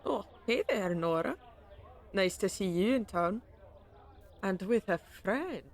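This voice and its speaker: A woman's voice: medium pitch, animated, slow pace. A woman playing a friendly, teasing market baker with a folksy, wry manner in a fantasy audio drama. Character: friendly, teasing female market baker hawking meat pies. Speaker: voice actor. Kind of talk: fantasy audio roleplay acting.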